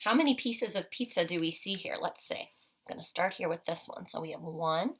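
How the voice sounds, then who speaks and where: A woman's voice: on the low side, expressive, brisk, a bit bright, husky. A woman teacher leading a read-aloud picture walk for children, explaining simply and asking frequent, encouraging questions.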